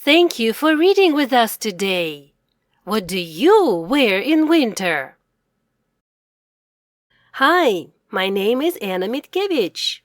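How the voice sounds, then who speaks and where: High, lively woman teacher reading aloud slowly. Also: no room sound. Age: middle-aged. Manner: clearly enunciated, repetitive teaching delivery. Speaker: English teacher and read-aloud host. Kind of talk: children's read-aloud lesson.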